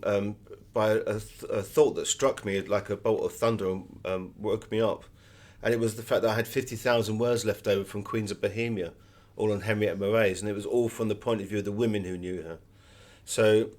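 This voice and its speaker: A middle-aged British man being interviewed as an author, telling anecdotes in a conversational way. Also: fairly low pitch.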